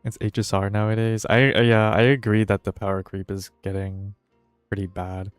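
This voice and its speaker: A man's voice: lowish voice, flat delivery, normal speed, clean tone, no room sound. A man streaming a game and chatting casually, rambling in a hesitant, laid-back way as he thinks aloud. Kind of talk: casual livestream chat while gaming. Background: faint music.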